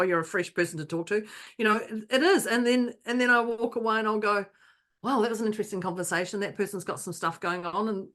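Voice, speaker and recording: Woman, podcast co-host, animated storyteller, restarts often, quotes others in voices. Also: mid-range voice. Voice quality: not calming, by her own account. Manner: animated anecdotes, many restarts, acts out others' lines. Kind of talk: casual podcast chat, storytelling.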